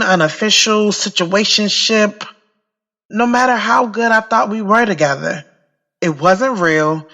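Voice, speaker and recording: A woman's voice: medium pitch, fairly bright tone. A woman playing a heartfelt, lovelorn thirty-year-old who narrates her own story in a conversational, confiding way for an audio drama. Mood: wistful, resolute. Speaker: lead actress and narrator.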